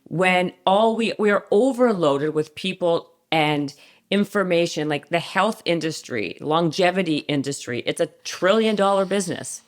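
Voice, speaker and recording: Brief: woman from Canada, podcast host, low pitch, eager questioner. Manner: eager, quick follow-up questions. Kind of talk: podcast interview.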